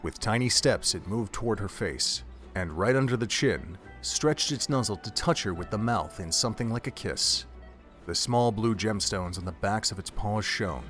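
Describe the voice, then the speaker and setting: A man's voice: on the low side, lively intonation, normal speed, fairly bright tone, soft background music. A man reading scripted third-person narration as the storyteller of an audio drama.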